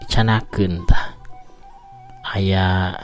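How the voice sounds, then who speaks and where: A man's voice: low voice, steady tone, drawn-out words, soft background music. A man voicing a cheerful middle-aged village woman who hawks snacks in a teasing singsong, in a Sundanese folk tale. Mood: coaxing, chatty.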